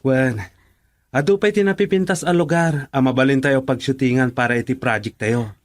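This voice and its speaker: An actor playing an easygoing, helpful young driver and colleague in an Ilocano radio drama. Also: male voice, medium pitch, normal speed, close to an everyday voice. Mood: upbeat.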